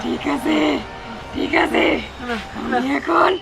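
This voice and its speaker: A high, gravelly ghost shouts threats in rustic colloquial speech. It is a vengeful, hungry man-eater from an amateur horror-comedy film, with loud music behind it. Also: slow pace, a crowd in the background, full character voice. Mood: gloating, hungry. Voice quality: creaky, growling shout.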